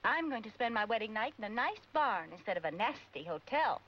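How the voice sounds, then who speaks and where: An actress playing a fretful, motherly, sharp-tongued secretary and bride in a 1940s film comedy. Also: on the high side, sing-song, brisk, slight background noise. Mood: sarcastic, angry. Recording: muffled 1940s film soundtrack, music behind.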